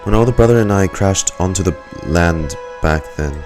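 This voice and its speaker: A man with a very deep voice streams a game. He reads the text aloud calmly, stumbling now and then and adding casual remarks, while music plays clearly behind him. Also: normal speed.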